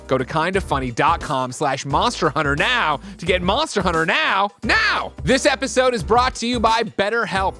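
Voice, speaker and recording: A man reading a scripted sponsor advertisement with emphatic, clearly promotional delivery. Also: high voice, sharp tone. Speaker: host reading sponsor ads. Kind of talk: scripted ad read.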